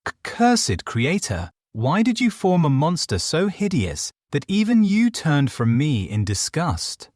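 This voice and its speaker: A man's voice: a little high, wildly swooping pitch, measured pace, a little mellow, no echo, studio quality, voice pushed up, exaggerated voice. A man voicing a wretched, lonely and bitter monster from a gothic storybook, with formal, anguished, dramatic phrasing.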